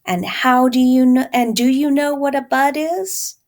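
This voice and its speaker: A woman's voice: high-pitched, fairly even intonation, unhurried, voice pushed up. A woman reading aloud as a firm, loving mother who lectures her young son insistently in a storybook. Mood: tender, insistent. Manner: emphatic, insistent.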